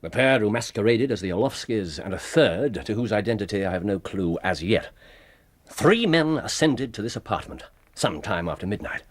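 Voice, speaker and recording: An actor playing a brilliant, brisk, self-assured English private detective in a radio drama, speaking incisively and precisely with authority. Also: male voice, fairly low pitch, lively intonation. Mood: methodical. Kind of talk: BBC radio drama acting.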